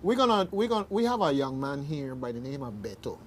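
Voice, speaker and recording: A man's voice: medium pitch, sing-song, brisk. A man hosting an informal radio discussion, emphatic and often checking in with a questioning "okay". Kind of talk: informal talk show discussion. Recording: faint background noise.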